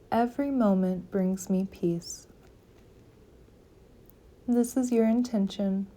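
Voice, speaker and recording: A woman leading a guided meditation, giving calm, gentle, soothing instructions with long pauses between phrases. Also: mid-range voice. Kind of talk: guided yoga nidra meditation.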